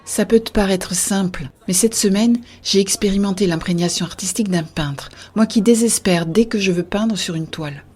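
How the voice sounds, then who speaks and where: A woman playing an earnest, idealistic young-hearted believer in a scripted science-fiction audio drama, with a husky, soft delivery. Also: mid-range voice, moderate pace, hardly any pauses, some chatter behind, lightly acted. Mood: earnest, persuasive. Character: Béa, earnest idealistic woman defending a shared-consciousness network. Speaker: voice actor narrating in first person.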